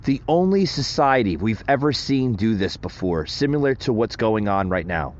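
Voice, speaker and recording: A man's voice: lowish voice, sing-song, moderate pace, lo-fi sound. A man hosting a solo political podcast, speaking emphatically and calling his listeners "folks". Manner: emphatic, rousing delivery addressing "folks". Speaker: podcast host.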